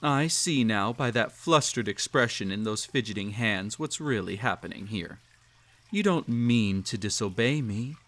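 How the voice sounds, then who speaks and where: Cruel male emperor, acted by a man, lowish voice, pompous and teasing, speaking intimately to the listener in audio drama. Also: animated, measured pace, fairly bright tone. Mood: soothing, possessive. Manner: pompous, formal, teasing, patronizing. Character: cruel, possessive male emperor, silky and condescending.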